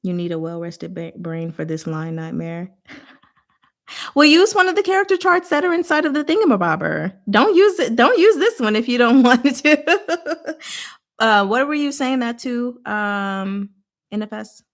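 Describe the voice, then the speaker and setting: A woman hosting a book discussion livestream, chatting casually with viewers in an animated, informal, playfully exasperated way. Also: on the high side, normal speed. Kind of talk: casual live chat with viewers.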